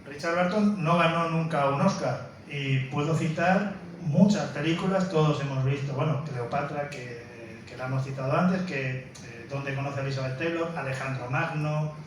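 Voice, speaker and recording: A man introduces a film in an informal lecture style, explaining with emphasis. His voice sits a little high. It is recorded from a distance in a very reverberant room. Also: moderate pace, few pauses. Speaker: film screening presenter. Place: indoor room, presenting to an audience. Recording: very echoey room, distant mic, faint noise.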